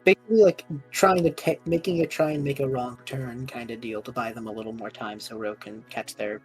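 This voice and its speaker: Very deep-voiced woman, casual gamer. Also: steady tone, a little fast, a little mellow, soft background music, rough recording. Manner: loose, slangy, conversational. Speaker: tabletop game player. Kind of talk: casual narration of own character's actions.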